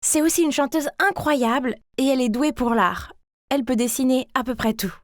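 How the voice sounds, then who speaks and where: A chatty young schoolgirl acted by a woman narrates a cartoon story about her life. The voice is very high and expressive, recorded dry with no room sound. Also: normal speed, no echo, close to an everyday voice. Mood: cheerful, chatty. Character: chatty, ordinary schoolgirl, overlooked younger sister. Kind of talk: animated first-person story narration.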